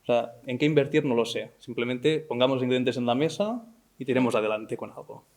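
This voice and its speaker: A man's voice: mid-range voice, brisk, darkish tone. A man speaking off the cuff as a panelist, informally, punctuating his points with rhetorical questions and tags. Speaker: panelist, technology company professional. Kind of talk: unscripted panel discussion.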